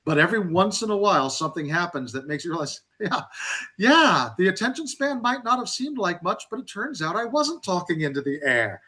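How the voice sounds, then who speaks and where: A man speaking as a panelist on a video call, animated and effusive, often repeating himself. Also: high voice, brisk. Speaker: panelist tour director. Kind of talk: casual webinar chat.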